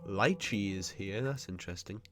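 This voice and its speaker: A man with a cold and a blocked, nasal voice, chatting casually while commenting on a game he is playing. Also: fairly low pitch. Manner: nitpicking, chatty, quick asides. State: stuffy nose from a cold. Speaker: gaming video host.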